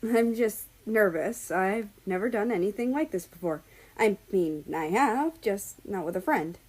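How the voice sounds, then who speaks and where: A woman's voice: mid-range voice, lively intonation, a little slow, a little mellow. An eager, friendly guy in a storybook, acted out by a woman reader. He talks chattily and is keen to please, with a nervous edge. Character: eager, friendly, nervous young male character.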